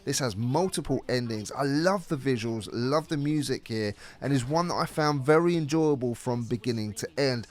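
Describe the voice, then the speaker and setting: A man's voice: mid-range voice, normal speed, hardly any pauses. A man presenting a scripted video game review as narrator.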